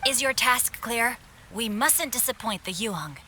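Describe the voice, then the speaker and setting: A man's voice: extremely high pitch, lively intonation, measured pace, noisy background. A voice actor playing a refined, gallant young nobleman in a video game, with formal, courtly phrasing.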